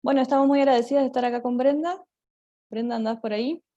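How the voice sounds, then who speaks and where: A woman with an Argentine accent giving an informal online talk, often halting as she searches for her words. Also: high voice, fairly even intonation, dark tone, dull audio. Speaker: theatre group member giving a talk.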